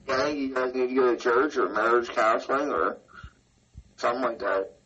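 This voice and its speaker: A man's voice: medium pitch, fairly even intonation, fairly quick, bright, forceful tone, lightly acted. A man playing a laid-back hillbilly sidekick, lo-fi. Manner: short, mild, agreeable replies. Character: calm hillbilly sidekick, man of few words.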